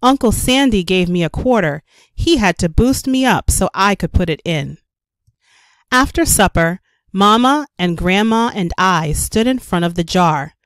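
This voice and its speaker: A woman's voice: mid-range voice, a little slow, no room sound. Woman reading a picture book aloud, dry sound. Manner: careful, expressive storytelling for young children. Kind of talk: scripted read-aloud narration.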